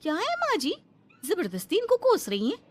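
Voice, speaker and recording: A woman voicing a blunt, sharp-tongued young daughter-in-law in a comic cartoon story, brazen and mocking. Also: very high-pitched, huge pitch swings, moderate pace, faint music behind, full character voice.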